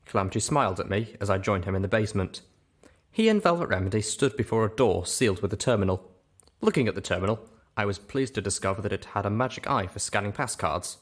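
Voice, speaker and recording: A man's voice: lowish voice, lively intonation, fast. A man narrating a story expressively as host of a reading show.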